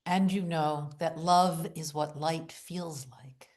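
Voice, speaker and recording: A woman leading a guided visualization, speaking in deliberate short phrases with long pauses, in a hushed, soothing, incantatory way. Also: low voice, fairly even intonation. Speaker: guided meditation leader. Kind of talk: guided visualization, meditative narration.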